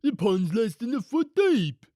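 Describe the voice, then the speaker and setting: Woman putting on a slow, dopey henchman. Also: on the high side, big pitch swings, mellow, dry recording, studio quality, voice pushed up. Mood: dopey objecting. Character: Sol Baden, dim, reluctant, lazy male henchman.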